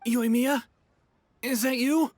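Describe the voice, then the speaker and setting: A man's voice: very high voice, steady tone, takes it slowly, background noise. A man voicing a polite, anxious fugitive in a video game, hesitant and apologetic. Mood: nervous.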